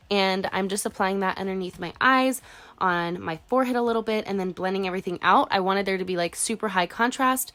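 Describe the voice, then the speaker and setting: A woman's voice: fairly low pitch, fairly bright tone. A young woman in her twenties with a congested cold voice, chatting casually through a makeup tutorial voice-over. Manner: chatty, casual, uses "like" a lot. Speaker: beauty vlogger.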